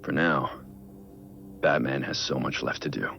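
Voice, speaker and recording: An actor playing a weary, brooding male vigilante billionaire in a video game, speaking an introspective, measured dramatic monologue as a private audio log. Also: very deep voice, fairly even intonation, gravelly, highs cut off, faint music behind, exaggerated voice. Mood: reflective.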